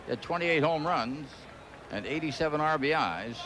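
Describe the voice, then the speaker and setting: A man's voice: fairly high pitch, lively intonation, normal speed. A man doing live baseball play-by-play on the radio in a conversational, folksy way. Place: ballpark broadcast booth. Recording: clearly noisy 1990s stadium broadcast. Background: loud ballpark ambience.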